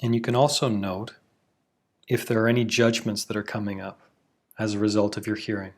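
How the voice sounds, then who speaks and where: Calm, slow-speaking male meditation guide. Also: on the low side. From Canada. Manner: slow, calm, soothing instructions with long pauses.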